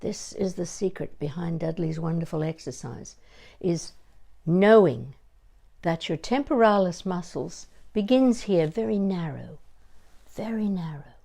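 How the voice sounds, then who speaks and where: An older woman giving a conversational demonstration as a voice coach, deliberate and emphatic, pausing between short phrases. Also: fairly low pitch, lively intonation, gravelly, warm tone.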